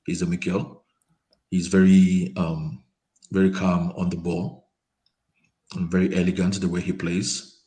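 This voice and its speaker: A man giving his views in a casual football chat over a call. He hesitates often, repeating words and filling pauses with um. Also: low voice, flat delivery, warm tone. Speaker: panel guest. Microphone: remote call mic, quiet.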